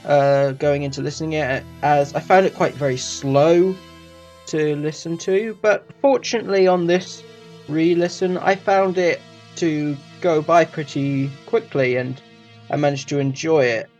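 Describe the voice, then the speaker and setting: A man's voice: on the high side, measured pace, darkish tone, faint music behind. A man hosting a review channel, reading a scripted review.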